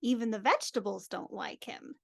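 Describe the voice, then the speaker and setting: A woman playing gossipy townsfolk, reciting rhyming lyrics in a deliberate rhythm during a live reading. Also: mid-range voice, vocal fry, breathy edge. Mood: scornful, gossipy. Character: gossipy townsfolk chorus mocking a miser. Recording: online video call track.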